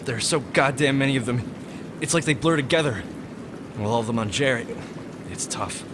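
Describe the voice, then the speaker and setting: A man's voice: medium pitch, a little fast, noisy background, lightly acted. An actor playing a blunt, foul-mouthed, defiant male captive in an audio drama, emotional in delivery. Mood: weary concern.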